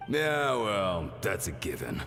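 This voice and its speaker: A man's voice: low voice, huge pitch swings, slow pace, a bit bright, exaggerated voice. A man voicing an eager, admiring apprentice in a video game, speaking emphatically. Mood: awed. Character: admiring, excitable male apprentice gossiping about heroes. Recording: long reverb, game music behind.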